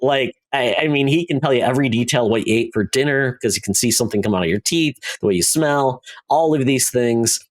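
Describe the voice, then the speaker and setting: A man with a mid-pitched voice talks casually as a podcast co-host. He rambles through his review in a halting way, often breaking off and restarting his own sentences. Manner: halting, rambling, self-interrupting.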